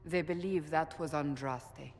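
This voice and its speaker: A woman's voice: deep voice, measured pace, darkish tone, big-room sound, faint music behind. A woman playing a stern, forthright warrior officer in a video game, speaking in a formal, clipped, matter-of-fact way. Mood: resolute. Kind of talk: video game cutscene acting.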